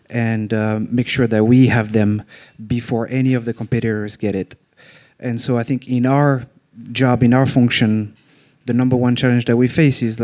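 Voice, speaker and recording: A man from France with a thick French accent, speaking as a panelist at a live event. He is self-deprecating and speaks slowly on purpose. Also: mid-range voice, a little mellow. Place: members' club event room in front of an audience.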